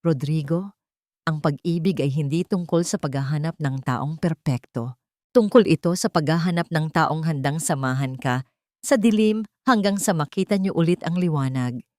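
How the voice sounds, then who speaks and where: A woman's voice: deep voice, moderate pace, a little mellow, no room sound, studio quality, close to an everyday voice. A woman voicing a firm, loving, emotional woman in a dramatic audiobook. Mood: tender. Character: firm, loving woman holding back tears. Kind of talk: dramatic audiobook character reading.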